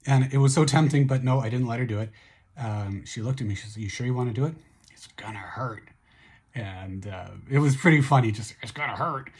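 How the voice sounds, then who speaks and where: A middle-aged man with a hoarse voice, chatting casually in a video diary, upbeat and relaxed with many fillers and restarts. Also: medium pitch, brisk. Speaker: vlogger recovering from surgery.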